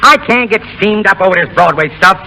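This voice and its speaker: An actor playing a brash, carefree burlesque comic who talks in cocky slang, in 1930s radio drama style. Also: male voice, high voice, expressive, brisk, gravelly, bright, forceful tone, slight background noise, full character voice, low-quality recording. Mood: dismissive. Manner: slangy, cocky wisecracking vaudeville talk. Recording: vintage 1930s radio broadcast, background music.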